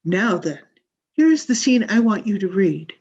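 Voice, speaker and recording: Woman playing a slow, husky, grandiose drama coach. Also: mid-range voice, sing-song, darkish tone, full character voice. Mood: brisk, instructive. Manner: theatrical, emphatic, drawn-out delivery. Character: grandiose, exacting female drama coach running auditions. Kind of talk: 1940s radio comedy acting. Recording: dull, muffled video-call audio.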